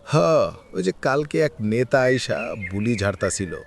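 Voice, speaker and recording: An actor playing a weary, aggrieved elderly villager in a cartoon, with a rural Bangladeshi Bengali accent and a plaintive, folksy way of complaining. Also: male voice, mid-range voice, animated, a little slow. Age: older. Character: weary, aggrieved elderly village man lamenting hard times. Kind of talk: cartoon village drama dialogue. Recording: faint background noise with clicks.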